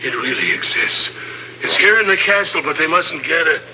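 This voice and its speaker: An actor playing a battered but stubborn, loyal captive in a 1950s radio adventure, halting and strained with emotion. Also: male voice, a little high, lively intonation, noisy background, lightly acted. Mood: weary, urgent. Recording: old 1950s radio recording, loud music.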